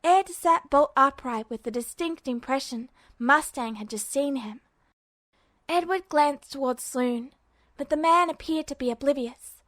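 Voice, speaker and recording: A woman reading a fan fiction audiobook with steady, even storytelling. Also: high-pitched, unhurried, no room sound. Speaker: podfic reader.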